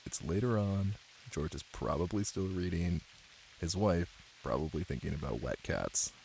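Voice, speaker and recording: A man doing a cozy boyfriend ASMR bedtime reading, soft, intimate and playful. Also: sits low, normal speed, vocal fry, poor audio. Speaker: ASMR voice actor playing a caring boyfriend. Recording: light hiss under rain ambience. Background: rain.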